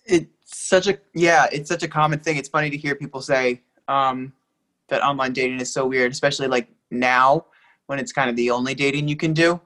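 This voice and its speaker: A man's voice: medium pitch, steady tone, a little fast, sharp tone. A young adult man chatting casually and informally, repeating phrases as he goes. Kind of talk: casual chat.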